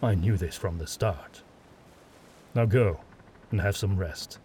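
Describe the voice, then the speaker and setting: A man's voice: low-pitched, dark tone, a little hiss or hum. A man voicing a stern, condescending witch hunter lord who speaks firmly and with authority. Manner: firm, measured, authoritative.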